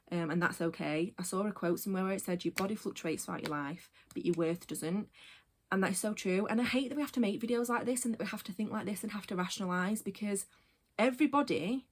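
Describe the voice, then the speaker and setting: A woman, chatting casually in a personal vlog, rambling with many fillers and tangents. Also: medium pitch. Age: 20s. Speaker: vlogger.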